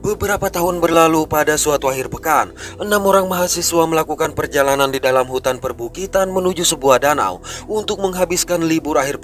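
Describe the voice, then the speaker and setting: A man narrating a film recap in a brisk, scripted storytelling way. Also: on the high side. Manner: brisk storytelling, rising and falling delivery.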